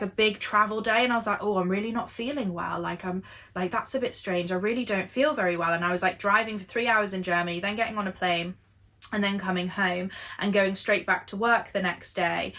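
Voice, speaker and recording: A British woman giving a candid, chatty life update with a croaky voice and lots of filler words. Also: medium pitch, sharp tone. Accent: British English. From United Kingdom. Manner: candid, earnest, with frequent fillers. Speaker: vlogger. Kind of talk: chatty, honest life update while knitting.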